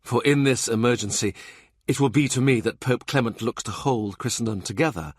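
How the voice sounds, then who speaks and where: A man voicing a worldly older cardinal with a dry, teasing, smoothly persuasive manner in an audiobook. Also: mid-range voice, a bit bright, dry recording. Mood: smoothly scheming.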